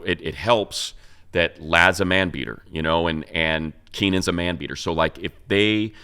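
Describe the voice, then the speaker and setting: A man's voice: rumbling low, a bit bright, clear, resonant tone. A man co-hosting a sports talk show, giving casual, conversational football analysis and thinking aloud as he goes.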